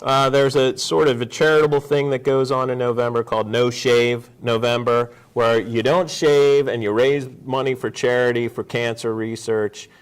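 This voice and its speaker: A man's voice: medium pitch, fairly even intonation, moderate pace, hardly any pauses, smooth. A man in his forties speaking as a guest on a casual talk show, telling stories in a joking way. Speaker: guest, district judge.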